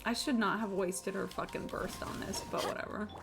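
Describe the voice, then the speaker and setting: A woman's voice: mid-range voice, fairly even intonation, moderate pace, gravelly. A woman live-streaming a game with casual, joking, sweary and exclamatory commentary. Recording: game music behind the voice.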